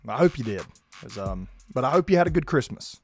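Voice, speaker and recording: A man, hoarse and losing his voice, hosting a casual sports podcast and keeping his volume down. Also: mid-range voice, sing-song, a little fast, soft background music. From South Georgia, US. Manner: restrained, holding his volume down. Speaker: podcast host.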